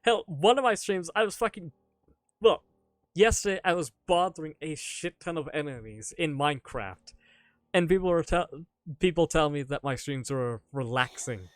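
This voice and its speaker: Male streamer, casual Q&A, self-mocking jokes, voice pitched a touch high, dry close mic. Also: normal speed, no room sound. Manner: joking and self-mocking, laughs often. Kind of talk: casual live-stream chat answering viewer questions.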